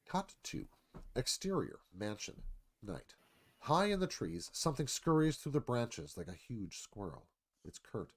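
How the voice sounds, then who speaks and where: A man's voice: medium pitch, sing-song, unhurried. A man reading screenplay stage directions aloud as scripted narration.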